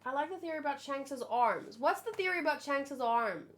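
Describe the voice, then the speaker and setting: High-voiced woman in casual streamer chat. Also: moderate pace. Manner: animated, exclamatory, rambling asides. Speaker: streamer hosting a manga read-along. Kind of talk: casual live chat, thinking aloud.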